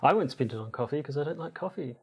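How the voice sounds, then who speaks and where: A man's voice: fairly high pitch, animated, quick pace, slightly rough. A man co-hosting a podcast in casual, bantering chat, with dry, self-deprecating humour. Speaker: podcast co-host. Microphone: lapel mic.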